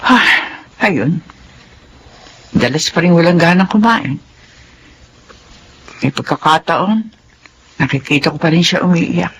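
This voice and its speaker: A woman's voice: fairly low pitch, animated, slow pace, long pauses, a little hiss or hum. Weary, burdened middle-aged mother. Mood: weary, sorrowful. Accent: Filipino. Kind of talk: Filipino radio drama acting.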